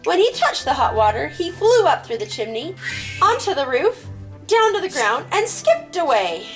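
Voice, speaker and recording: A woman hosting and telling a children's story in an expressive storybook style. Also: high voice, normal speed, rough recording. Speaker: host and storyteller. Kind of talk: animated storybook narration for children. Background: music.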